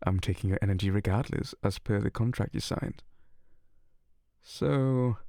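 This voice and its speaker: Slow, low, gravelly male incubus, played by a man, teasing and predatory, dry close ASMR sound. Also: dark tone, no echo. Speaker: ASMR roleplay voice actor. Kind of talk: ASMR roleplay.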